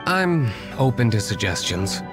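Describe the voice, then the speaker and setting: An actor playing an aloof, composed young vigilante nobleman in a video game, speaking in a cool, formal, measured way. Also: mid-range voice, sing-song, over music. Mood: guarded, deliberate.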